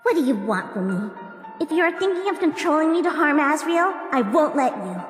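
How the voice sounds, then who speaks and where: A woman's voice: high voice, sing-song, brisk. A woman playing a solemn, earnest, fiercely protective young female warrior in a dramatic comic dub. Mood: defiant. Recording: echoey, long reverb over loud music.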